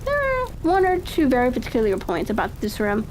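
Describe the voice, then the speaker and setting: Storybook sleuth, Victorian gentleman, woman reader, observant and probing, very animated intonation over background noise. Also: on the high side, a little fast, low-quality recording. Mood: intrigued. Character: brisk, keenly observant male Victorian detective.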